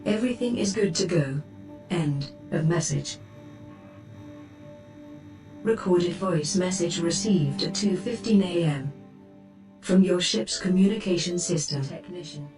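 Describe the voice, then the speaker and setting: A formal female ship computer, voiced by a woman reads out status messages in a clipped, slightly low voice. She sounds distant and roomy. Clear music plays behind her. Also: unhurried, long pauses, close to an everyday voice. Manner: formal, clipped system announcements.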